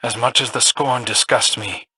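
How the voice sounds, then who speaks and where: An actor playing an earnest, brooding young warrior in a video game, conversational, with a husky voice. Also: male voice, medium pitch, moderate pace, bright, forceful tone, slight background noise. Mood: troubled.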